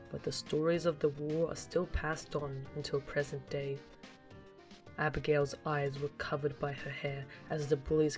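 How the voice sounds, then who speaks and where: A narrator reading a scripted story for a fan audio drama. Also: mid-range voice.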